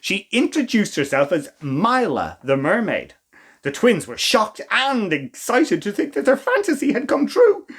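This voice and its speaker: A man reading a children's story as a storytime host, with expressive, theatrical emphasis and asides to the listeners. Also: high-pitched, unhurried, slightly rough. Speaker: storytime reader.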